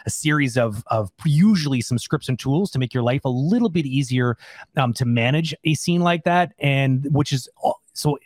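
A man's voice: mid-range voice, lively intonation, moderate pace, no room sound. A man talking casually and off the cuff as an animator co-hosting a Q&A, rambling and emphatic with informal phrasing. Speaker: animator and Q&A co-host. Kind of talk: casual unscripted Q&A discussion.

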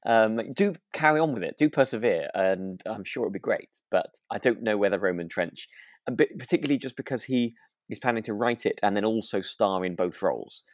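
A man with a low voice chats as a podcast co-host in a dry-sounding space. His delivery is relaxed and wry, tentative as he feels his way through a thought. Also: fairly quick, no room sound. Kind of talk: relaxed casual chat reviewing a radio drama.

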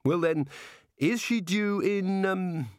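A man's voice: high-pitched, slow pace, dry recording, clean studio sound, voice pushed up. Man voicing a hapless, exasperated prisoner. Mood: hopeful, hesitant. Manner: fretful, hesitant. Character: hapless, exasperated male fugitive prisoner, anxious and sardonic. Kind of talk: comic audiobook character voice.

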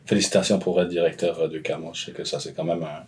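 A man's voice: rumbling low, steady tone, fast, a little mellow. A man hosting a casual interview, hesitant and full of fillers. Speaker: podcast host.

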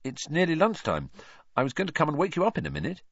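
A man voicing a blunt, excitable teenage boy, a worried loyal friend in a novel, speaking sharply. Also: on the high side, fast talker, slightly rough, no echo, highs cut off, voice pushed up, full character voice. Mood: concerned. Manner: sharp, exclamatory.

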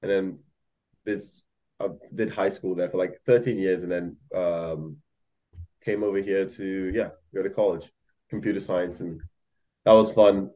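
A man's voice: low voice, steady tone, unhurried, mellow, lo-fi sound. A young man who grew up in Malaysia, chatting casually as a podcast guest, rambling with many fillers and laughs. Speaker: podcast guest, algo trader. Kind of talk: casual chat.

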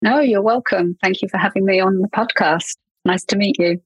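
Husky English woman, chatty guest. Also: medium pitch, moderate pace, no room sound, lo-fi sound. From England. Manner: chatty, laughs often, trails off with fillers and restarts. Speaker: podcast guest, marketing consultant. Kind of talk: casual interview chat.

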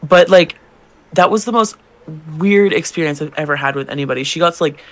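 A man's voice: a little high, fairly quick, a bit bright. A young man, a drag queen co-hosting a podcast, telling work stories in casual chat, swearing and acting out customers' lines. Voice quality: gravelly, feminine-leaning voice.

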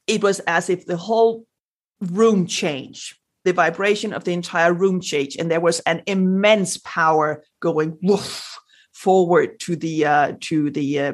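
A woman's voice: lowish voice, unhurried. A Danish woman, a native speaker of Danish with a Danish accent, speaking animatedly as a spiritual guest on a podcast, with sound effects and many restarts. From Denmark. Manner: frequent restarts and fillers. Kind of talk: podcast interview.

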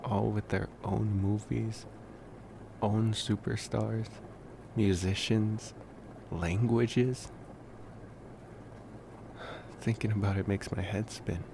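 Man acting as young vampire boyfriend, low-pitched, very slow, soft, intimate ASMR roleplay, devoted, noisy rumbling recording. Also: gravelly. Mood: dreamy musing. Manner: soft, unhurried, musing with long pauses. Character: devoted young male college vampire, smitten and protective. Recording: noisy, steady background rumble.

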